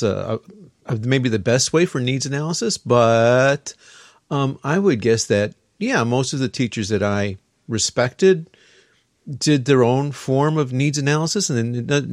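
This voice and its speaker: A man hosts a relaxed podcast chat and talks his way through thoughts with plenty of ums and restarts. His voice sits at an average pitch and is recorded dry, with no room sound. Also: no room sound. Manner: rambling, many fillers and restarts. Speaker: podcast host. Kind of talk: casual podcast conversation.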